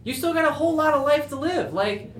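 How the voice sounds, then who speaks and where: A man's voice: very high voice, expressive, fast, a bit bright, slight background noise. A young man chatting casually on a podcast, blunt and opinionated, with frequent swearing. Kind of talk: casual chat. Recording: echoey room.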